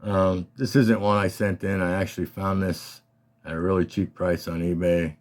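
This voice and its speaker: A man with a slightly scratchy voice, casually and haltingly talking through his collection as a hobbyist host. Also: low voice, level tone. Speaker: collector hosting a card showcase. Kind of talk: casual rambling show-and-tell talk.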